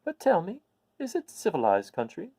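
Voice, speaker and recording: A man voicing a kindly little old woman, a good witch from a storybook, speaking in an emphatic, deliberate way. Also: sits high, wildly swooping pitch, dark tone, no echo, studio quality, voice pushed up, heavily acted. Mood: earnest explaining. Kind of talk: storybook character voice.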